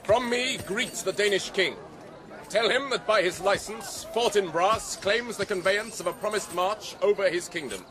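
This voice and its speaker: An actor playing a brisk, formal young warrior prince giving orders in declamatory Shakespearean radio drama style. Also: male voice, high voice, a crowd in the background, natural voice. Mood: commanding.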